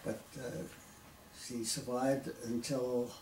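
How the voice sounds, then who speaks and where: A man in his nineties from Brooklyn with a Brooklyn accent, recalling his family in an oral history interview, halting and clearing his throat. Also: mid-range voice, level tone, darkish tone. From Brooklyn, New York. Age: 90s. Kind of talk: reminiscing interview. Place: living room, seated in armchair. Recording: roomy echo, distant mic, faint hiss.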